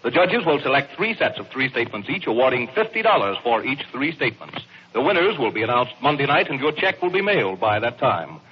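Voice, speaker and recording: An American man working as a vintage radio announcer, reading a scripted notice in a formal, polished delivery. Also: mid-range voice. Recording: dull, noisy vintage radio recording.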